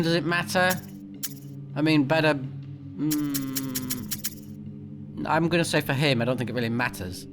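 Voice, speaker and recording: A man doing casual let's play commentary, blunt, sweary and expressive, sometimes reading game text aloud. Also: mid-range voice. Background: game music.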